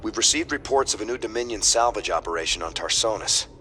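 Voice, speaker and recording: An actor playing a businesslike crew informant giving a crisp mission briefing in a video game. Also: male voice, lowish voice, animated, quick pace, bright, forceful tone. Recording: faint mechanical noise.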